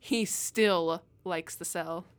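A woman voicing a hard-boiled male police detective in an old-time radio drama, gruff, mocking and bullying. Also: fairly low pitch, slow.

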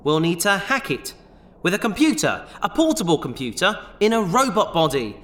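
Sci-fi audio drama: actor as easygoing male treasure hunter, bright and animated, glib delivery, faint noise behind. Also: mid-range voice, moderate pace. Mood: glib, defensive. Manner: glib, casual, self-justifying. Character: easygoing, fast-talking, apologetic space treasure hunter, glib schemer.

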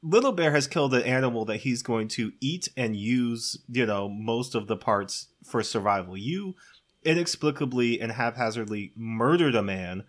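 A man's voice: mid-range voice, animated, moderate pace. Man giving a wry, incredulous movie recap. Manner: wry, incredulous storytelling, often laughing. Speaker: podcast co-host recapping a film. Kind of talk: casual comedic movie recap.

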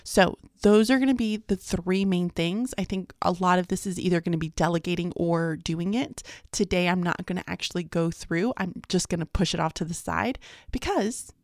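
Chatty woman with gravelly fry. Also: lowish voice, normal speed, a little mellow, no echo, studio quality. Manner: rambling, chatty, fillers like "like" and "um". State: sleepy. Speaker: vlogger. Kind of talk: casual daily vlog chat.

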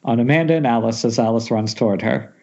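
A man's voice: mid-range voice, fast, creaky, a little mellow. A man reading screenplay stage directions as narrator at a table read, now and then stumbling over words. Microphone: Skype call. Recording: dull internet call.

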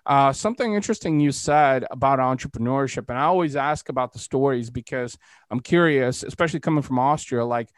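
A man's voice: mid-range voice, fairly quick, no echo. Dry-sounding man hosting casually. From Eastern Europe. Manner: rambling, frequent "you know". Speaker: podcast host, entrepreneur. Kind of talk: casual conversational interviewing.

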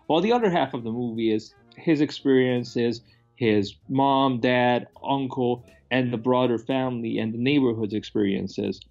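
A man's voice: medium pitch, normal speed, gravelly, a little mellow. A man co-hosting a casual film discussion in a blunt, informal, conversational manner. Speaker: podcast co-host. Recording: dull lo-fi sound, music behind.

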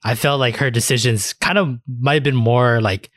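A man's voice: medium pitch, normal speed, fairly bright tone, no echo. Casual man in a dry, close recording. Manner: casually profane. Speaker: podcast co-host. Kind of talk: casual chat about video games.

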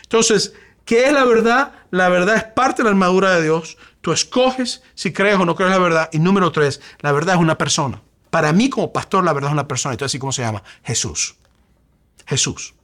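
A man's voice: high-pitched, lively intonation, brisk, a bit bright. A male pastor from Venezuela with a Venezuelan Spanish accent, preaching a sermon with rising emphasis and rhetorical questions.

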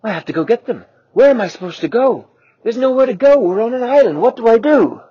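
A man's voice: mid-range voice, lively intonation, brisk, darkish tone, slightly rough, voice pushed up. A man voicing a worried mother Tyrannosaurus in a storybook tale, with rising anxious pleas. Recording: lo-fi, dull.

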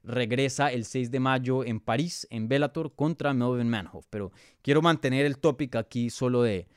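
Male MMA analyst, Bogotá accent, medium pitch, crisp tone, polite and conversational live-show delivery, thinking aloud. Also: normal speed, dry recording, clean studio sound. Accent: Colombian, Bogotá. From Bogotá, Colombia. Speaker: podcast host and MMA analyst. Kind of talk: casual live sports preview, answering viewer questions.

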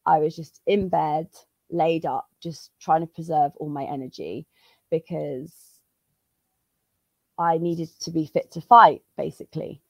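A woman, a jiu-jitsu competitor, chatting casually as an interview guest with many fillers and restarts; her voice is a little hoarse from a cold. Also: deep voice, fairly even intonation, unhurried, dry recording. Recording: dull, dry lo-fi sound.

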